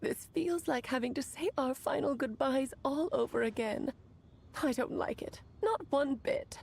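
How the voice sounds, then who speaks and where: A woman's voice: high voice, measured pace, vocal fry, a little mellow. A woman playing an elegant, playful, scheming witch in a video game, speaking in a theatrical, refined, teasing manner. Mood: wistful. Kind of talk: video game character acting.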